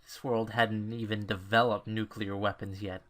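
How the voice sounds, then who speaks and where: A man's voice: lowish voice, flat delivery, moderate pace, a bit bright, lightly acted. A man playing a stern, short-tempered mentor lecturing a trainee in a visual novel fan dub. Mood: frank, serious.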